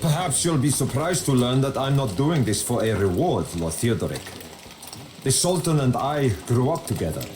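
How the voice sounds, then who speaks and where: An actor playing a loyal, courteous nobleman in a medieval video game, speaking in a measured, earnest way. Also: mid-range voice, very animated, noisy background, natural voice. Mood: earnest, polite. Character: loyal, courteous Middle Eastern nobleman, childhood friend of a Sultan. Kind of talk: dramatic video game cutscene acting. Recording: echoey voice over loud game music.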